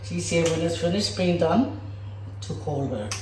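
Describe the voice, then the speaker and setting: Slow, chatty woman in an echoey kitchen. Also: lowish voice, animated, music in the background. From Samoa. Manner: chatty and playful, talks straight to viewers as "guys". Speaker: cooking vlogger. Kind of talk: casual cooking demonstration. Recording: echoey room.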